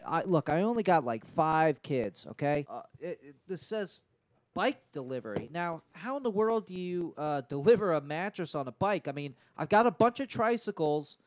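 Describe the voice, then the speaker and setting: A man in his thirties playing an eager, scheming dad making a rambling, persistent sales pitch on a prank call. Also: very animated, moderate pace. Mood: eager, persuasive. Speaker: prank caller. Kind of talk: improvised prank phone call. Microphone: handheld microphone held close to mouth.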